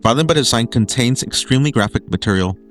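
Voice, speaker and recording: A man's voice: medium pitch, lively intonation, quick pace, faint music behind. A man delivering scripted video essay narration as a documentary narrator, with emphatic lists and dramatic short phrases.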